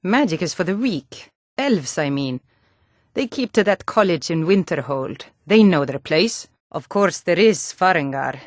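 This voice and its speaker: Hardy Nord warrior woman, lowish voice, proud, firm, plain-spoken, earnest, fantasy game dialogue, dry studio sound. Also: expressive, unhurried, no room sound. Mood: scornful.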